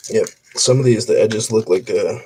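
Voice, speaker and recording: A man with a fairly low voice talks in a loose, rambling way, full of ums and restarts, as he sorts coins on a live stream. A faint hiss of noise sits under the recording. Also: normal speed, low-quality recording. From Boston area. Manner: rambling, relaxed, many fillers and restarts. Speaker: coin roll hunter streaming. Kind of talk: casual live-stream chat while sorting coins.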